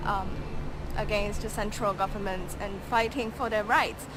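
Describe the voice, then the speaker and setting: A woman from Hong Kong being interviewed outdoors, recalling events in a halting way. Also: mid-range voice, steady tone, noisy background. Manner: hesitant, halting recollection. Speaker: interviewee, protest witness.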